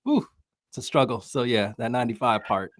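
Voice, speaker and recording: A man's voice: medium pitch, brisk, no room sound, studio quality. An American man speaking as a podcast guest, giving informal, wry, conversational sports commentary. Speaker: podcast guest, soccer journalist.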